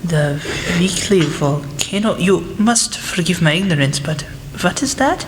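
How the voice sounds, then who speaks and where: A teenage woman student playing a gentle, earnest male professor in a radio play, speaking politely and hesitantly. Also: on the low side, lively intonation, a little slow, background noise. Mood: curious, friendly. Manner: hesitant, polite, formal phrasing. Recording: echoey hall.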